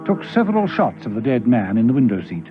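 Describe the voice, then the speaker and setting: Guy narrating an old radio play, animated delivery, kinda muffled sound w/ some music. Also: mid-range voice, fast talker. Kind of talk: scripted radio drama narration.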